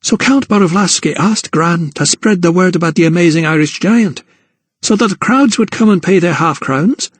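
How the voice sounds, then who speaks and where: An earnest, sharp-witted young woman whose questions come pointed and pleading, as a male narrator puts her on. Her voice sits a little higher than his, and the sound is dry. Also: moderate pace, dry recording. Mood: questioning.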